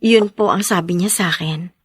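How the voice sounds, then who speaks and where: A woman playing a respectful, sincere young single mother in an audio drama, soft-spoken and deferential. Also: lowish voice, normal speed, breathy edge, no room sound, clean studio sound. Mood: meek, explaining. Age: young adult. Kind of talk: melodramatic radio-style drama acting.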